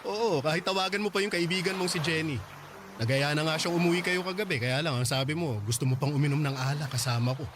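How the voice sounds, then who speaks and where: A man acting as a calm young man in a radio drama, patiently explaining and reassuring. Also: on the high side, fast, background noise, natural voice.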